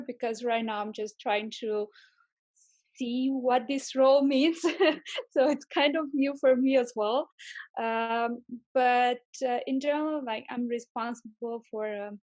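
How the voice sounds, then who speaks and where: Female interviewee, higher pitch, dry sound, casual, hesitant but keen delivery. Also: a little mellow, no room sound, highs cut off. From Romania. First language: Romanian. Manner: hesitant, searching for words, enthusiastic. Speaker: interview guest, tech manager.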